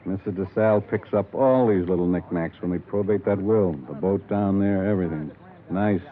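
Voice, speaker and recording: Guy acting a tired gruff small town cop, lowish voice, blunt n folksy, tinny old radio sound. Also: natural voice. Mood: dry, musing. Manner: folksy, blunt, drawn-out musing. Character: tired, gruff small-town police lieutenant, shrewd and dry. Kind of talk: 1950s radio detective drama acting. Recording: tinny band-limited old radio recording.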